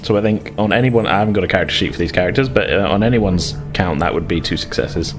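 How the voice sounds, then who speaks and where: A man's voice: low voice, quick pace. A man narrating casually as game master, informal and explanatory, feeling his way through sentences. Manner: hesitant, informal, explanatory, chuckling asides. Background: background music.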